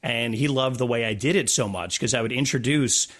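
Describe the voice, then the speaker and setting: A man's voice: mid-range voice, fairly quick, a bit bright. A man talking as an interview guest, telling stories casually with a playful, jokey manner. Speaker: guest, stadium PA announcer. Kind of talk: casual storytelling interview talk.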